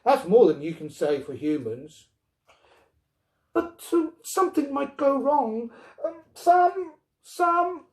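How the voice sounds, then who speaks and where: A man's voice: extremely high pitch, big pitch swings, slow pace, pitched above the performer's own voice, full character voice. A man voicing an anxious, indignant wife and mother in a storybook reading, pleading and emphatic. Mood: flustered.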